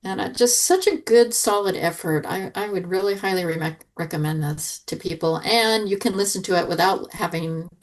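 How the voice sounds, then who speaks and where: A woman's voice: fairly low pitch, moderate pace, few pauses, low-quality recording. A woman giving a casual review as a podcast panelist, listing her points in repeated phrases.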